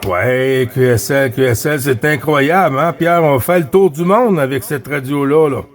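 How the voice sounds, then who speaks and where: A man's voice: mid-range voice, moderate pace. A man with a Quebec French accent chatting casually as a CB radio operator, friendly and repeating phrases and radio codes. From Quebec, Canada.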